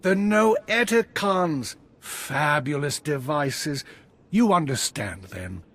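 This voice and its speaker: A man playing an eccentric, visionary Jedi master in a video game, guiding a student with expressive, theatrical delivery in a husky, rough-edged voice. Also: a little high, slow, exaggerated voice. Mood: instructive, enthusiastic. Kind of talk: scripted video game dialogue.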